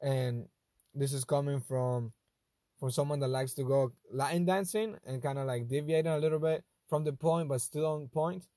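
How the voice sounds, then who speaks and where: A young man with a Spanish accent, English not his first language, hosting a casual monologue in a thoughtful, halting way that often restarts phrases. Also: mid-range voice, dark tone, no echo. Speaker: host.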